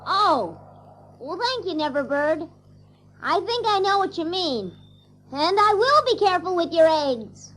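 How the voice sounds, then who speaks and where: A very high-pitched, proud and cocky boy hero from a children's audio play. The delivery is lively and takes its time. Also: a little slow, exaggerated voice. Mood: grateful. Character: Peter Pan, proud cocky young boy. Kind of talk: children's audio drama acting.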